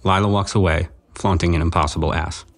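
An actor playing a dry, matter-of-fact narrator of scenes in an audio drama. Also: male voice, low voice, steady tone, fairly quick.

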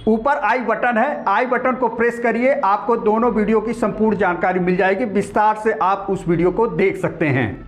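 Male explainer presenter, middle-aged, Indian accent, high-pitched voice, formal and orderly delivery. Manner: formal, methodical, enumerating points. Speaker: presenter of an informational channel. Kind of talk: scripted explainer addressed to viewers.

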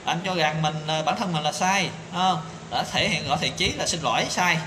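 A man's voice: a little high, moderate pace. A man in his thirties speaking an indignant opinion monologue to camera, full of rhetorical questions and fillers, filmed on a phone in a small tiled room. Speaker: commentator. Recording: echoey tiled room with background noise.

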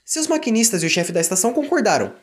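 Brazilian guy telling a kids story, really sing-song pitch, music under it. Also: big pitch swings, fast. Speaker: narrator. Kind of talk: scripted children's story narration.

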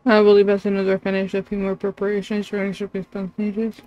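A woman's voice: mid-range voice, completely flat delivery, quick pace, dark tone, lo-fi sound, faint music behind. A woman streamer reading game lines aloud and commenting casually as she plays.

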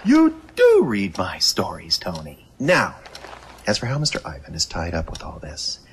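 A man's voice: deep voice, wildly swooping pitch, normal speed, a little hiss or hum, full character voice. A man playing a stubborn, wisecracking reporter who hunts monsters in an audio drama, with a cheeky, wheedling manner. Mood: cheeky, persuasive. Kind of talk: audio drama acting, with first-person noir narration.

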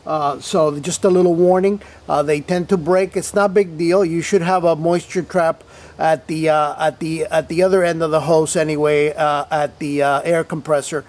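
A man's voice: fairly high pitch, fairly even intonation, moderate pace, darkish tone. A man hosting an airbrush tutorial, talking casually while he shows the tool at a table, rambling with fillers, restarts and playful asides. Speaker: host of an airbrush tutorial show. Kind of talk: casual product demonstration. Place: indoor table-top studio, hands-on demo.

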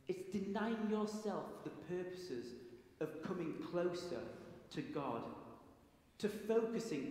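A middle-aged man preaching a sermon in a large stone church, slow and deliberate with long pauses. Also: high-pitched, darkish tone. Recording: echoey church with long reverb.

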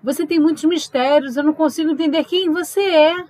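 A woman's voice: high voice, brisk, darkish tone, natural voice. A woman playing a concerned, questioning love interest in an audio drama, coaxing and inquisitive. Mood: bewildered.

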